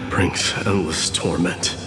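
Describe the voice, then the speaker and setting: Game casting: noble, solemn god-king, deep register, formal dramatic delivery, heavy reverb. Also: steady tone, slow. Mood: grave resolve. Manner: grave, stately, formal delivery. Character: solemn, resolute warrior king facing self-sacrifice. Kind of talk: dramatic video game acting. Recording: echoey hall reverb under loud music.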